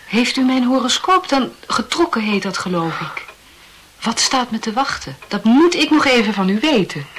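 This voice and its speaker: An actress playing a cool young lady in a radio play, polite and formal, questioning with a gentle tease. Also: fairly high pitch, lively intonation, normal speed, slight background noise. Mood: teasingly curious.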